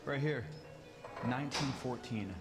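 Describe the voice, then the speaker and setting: A man's voice: medium pitch, unhurried, over loud music. A man giving an informal guided tour, explaining what is on display in an explanatory, conversational way. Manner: explanatory, inviting listeners to imagine.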